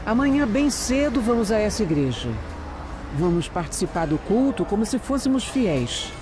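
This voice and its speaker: A Brazilian woman playing a composed, analytical detective who narrates her case in an audio drama with measured, deliberate delivery. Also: mid-range voice, very animated, background noise, natural voice. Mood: focused, wary. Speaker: lead actress and narrator. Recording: loud music and street sounds behind. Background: music, urban street ambience with vehicles.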